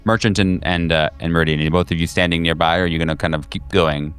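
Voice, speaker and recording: Low-voiced man narrating a tabletop game. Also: fairly even intonation, a bit bright, smooth. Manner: halting, descriptive, thinking aloud. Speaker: game master. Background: background music.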